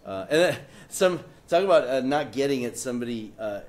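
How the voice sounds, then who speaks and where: A man who is a political cartoonist, speaking on a panel, joking wryly and pausing often with fillers. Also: medium pitch, lively intonation, darkish tone. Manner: wry, joking, halting with many fillers. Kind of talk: casual panel talk presenting his work.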